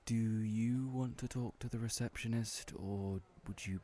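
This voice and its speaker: A man playing a kind, gentle friend in an audio roleplay, who speaks hesitantly with frequent fillers. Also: lowish voice, steady tone, unhurried, lightly acted.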